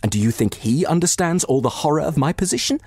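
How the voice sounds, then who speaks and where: Man reading as an anguished, breathy betrayed wife. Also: a little high, lively intonation, darkish tone, no echo, clean studio sound, voice pushed up. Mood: bitter, hurt. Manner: anguished, emphatic, halting delivery. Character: distraught betrayed wife, bitter, near tears.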